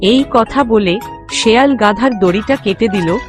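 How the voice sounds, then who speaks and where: A woman's voice: mid-range voice, measured pace. Woman narrating a children's story over music. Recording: music bed under the voice.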